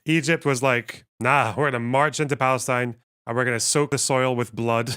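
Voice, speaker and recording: Clear-voiced man rambling through a livestream. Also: medium pitch, fairly even intonation, moderate pace, fairly bright tone. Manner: thinks aloud, rambling and wry. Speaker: livestream host. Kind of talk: casual livestream commentary, reading chat.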